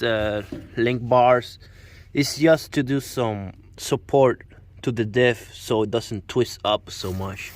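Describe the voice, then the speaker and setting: A young man from Puerto Rico with a Puerto Rican Spanish accent, a native Spanish speaker, walking around his pickup truck outdoors and explaining it in a casual, halting way, often searching for words and restarting. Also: lowish voice, slight background noise. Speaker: car owner showing his truck.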